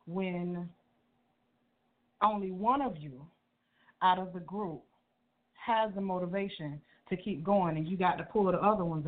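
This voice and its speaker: Chatty slangy interview host, quick to laugh, over a tinny phone line. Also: medium pitch.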